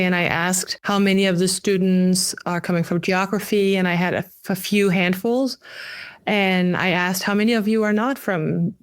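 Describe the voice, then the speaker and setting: Non-native English-speaking woman, explaining carefully. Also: mid-range voice. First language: not English. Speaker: guest, geography professor. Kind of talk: podcast interview, explaining own teaching.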